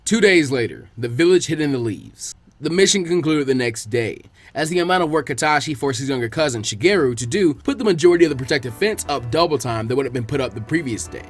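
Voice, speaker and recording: A man's voice: mid-range voice, lively intonation, fast, dry recording, soft background music. A man reading fiction aloud as a dramatic audiobook narrator. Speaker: fan fiction reader.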